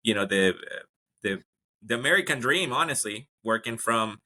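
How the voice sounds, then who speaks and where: A man's voice: medium pitch, lively intonation, normal speed, bright, forceful tone. A man from Ecuador, a guest telling his career story in a casual interview, with lots of fillers and restarts. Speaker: guest, HR executive.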